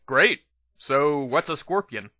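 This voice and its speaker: A man's voice: fairly high pitch, expressive, takes it slowly, no room sound. A cocky, naive pony mare from a fantasy storybook, played by a man, speaks casually and brashly. The recording is dry and a little muffled. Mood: brash, eager.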